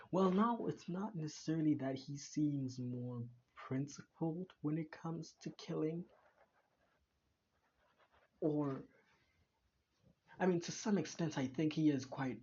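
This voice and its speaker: A man's voice: mid-range voice, a little mellow, a little hiss or hum. Man musing over a dull mic. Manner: rambling, musing, frequent restarts and stammers. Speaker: reaction video creator. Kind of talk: casual reaction commentary.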